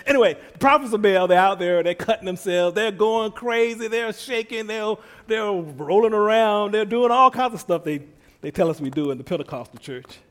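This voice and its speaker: A middle-aged male preacher with a slightly higher voice, talking to his congregation in a folksy, conversational way. His voice is soft when he is relaxed and climbs into excited shouts of praise. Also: moderate pace. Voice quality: soft-spoken at rest. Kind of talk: conversational sermon.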